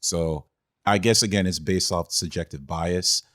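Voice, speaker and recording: A man's voice: low-pitched, steady tone, unhurried, fairly bright tone. A man hosting a casual podcast discussion about films, speaking in a conversational way. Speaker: podcast host.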